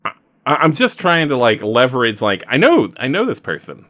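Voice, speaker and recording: A man playing a tabletop roleplaying game, chatting casually and thinking aloud in an animated, halting way. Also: medium pitch, no room sound, studio quality.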